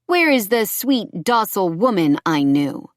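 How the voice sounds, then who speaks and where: A woman acting a menacing, sneering man, raised in pitch. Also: sing-song, a little slow, dry recording, clean studio sound. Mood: menacing, demanding. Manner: taunting, threatening. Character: menacing, sneering, angry ex-boyfriend, lanky thug with knife.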